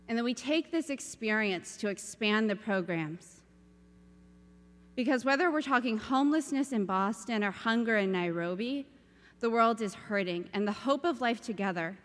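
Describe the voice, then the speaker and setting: A woman giving an earnest, emphatic speech to a church convention. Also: on the high side. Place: large cathedral. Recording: long hall reverb.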